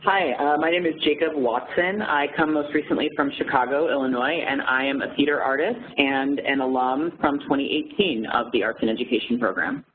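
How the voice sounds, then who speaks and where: A man's voice: medium pitch, brisk, hardly any pauses. A man from the United States introducing himself as a program alumnus. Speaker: program alumnus and theater artist. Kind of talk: self-introduction. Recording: phone-like lo-fi audio with faint noise.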